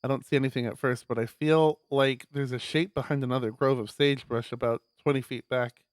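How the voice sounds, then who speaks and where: Smooth-voiced man narrating in a dry room. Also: medium pitch, brisk, no room sound. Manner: expressive reading, breaks off into excited asides. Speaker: streamer reading a visual novel aloud. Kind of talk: dramatic narration of a visual novel with casual asides.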